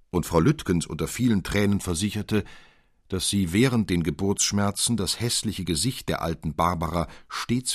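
A man narrating a literary tale in an expressive, deliberate, old-fashioned storytelling manner. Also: low-pitched, dry recording, clean studio sound. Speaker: audiobook narrator.

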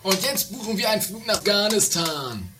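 A woman's voice: medium pitch, big pitch swings, moderate pace, background noise, close to an everyday voice. A woman playing an impatient, grumbling adventurer in a comedy radio play, blunt and theatrical.

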